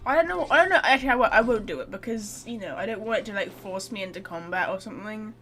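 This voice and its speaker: Casting: woman game streamer, blunt and jokey, sweary casual chat, lively swooping pitch, bright tone. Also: fast talker, faint music behind. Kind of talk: casual livestream game commentary.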